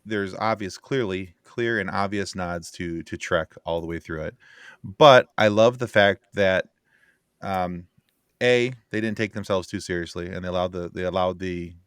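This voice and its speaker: A middle-aged man with a fairly deep voice, recorded very dry. He chats casually about films as a podcast panelist, circling back over his phrases as he works toward a point. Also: a bit bright, clean tone, dry recording. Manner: rambling, repeats phrases as he builds a point. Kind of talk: casual film chat.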